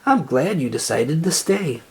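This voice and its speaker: A reader doing an earnest, excitable little boy, husky. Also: medium pitch, a little slow, full character voice. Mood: hopeful. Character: hopeful young boy who believes in pumpkin legend.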